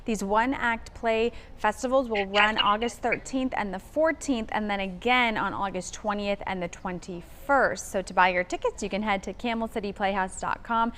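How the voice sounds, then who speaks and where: A woman's voice: medium pitch, normal speed, few pauses, a bit bright. A woman hosting a live local television interview segment with polished broadcast delivery. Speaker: TV morning show host.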